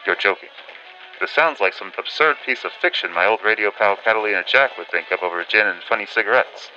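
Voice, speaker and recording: Man acting a forceful, bright 1940s radio correspondent. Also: on the low side, a little fast. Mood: incredulous, wry. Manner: old-fashioned announcer-like phrasing, exclaiming. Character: 1940s male radio correspondent, reluctant but dutiful, wry. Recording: dull band-limited audio with music behind.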